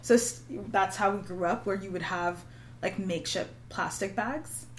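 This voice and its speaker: A woman guest on a casual podcast, soft-spoken and relaxed, laughing often, with a faint hum behind her. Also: mid-range voice, normal speed. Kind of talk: casual chat.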